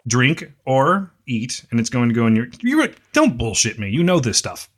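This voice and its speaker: A man co-hosts a podcast in a mid-range voice that sounds a bit scratchy and hoarse. He teases his co-host and explains things with mock authority in casual chat. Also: mid-range voice, big pitch swings, normal speed.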